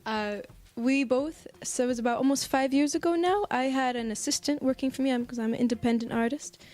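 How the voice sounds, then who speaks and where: American woman, kinda high voice, chatting in an interview, thoughtful and pauses mid sentence a lot. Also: darkish tone. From United States. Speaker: singer-songwriter being interviewed. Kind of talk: casual interview. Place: apartment.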